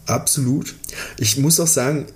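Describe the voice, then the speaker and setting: Casting: male co-host for a podcast, medium-pitched voice, informal conversational delivery. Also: steady tone, normal speed. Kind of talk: casual chat.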